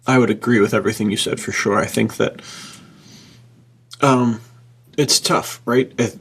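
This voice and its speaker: A man chatting informally as a podcast co-host, thinking aloud in a husky, gravelly voice. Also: mid-range voice, fairly even intonation, moderate pace. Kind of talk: casual chat.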